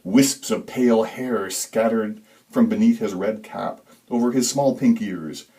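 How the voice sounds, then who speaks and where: A man narrates a story in a fairly low voice. His delivery is deliberate and dramatic, with expressive emphasis that builds suspense. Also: poor audio. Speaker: author reading own story.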